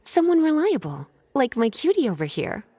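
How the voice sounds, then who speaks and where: A woman's voice: sits high, wildly swooping pitch, moderate pace, background noise. A woman voicing a poised, teasing, knowing female librarian in a video game, with formal wording. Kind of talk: scripted video game dialogue and storytelling.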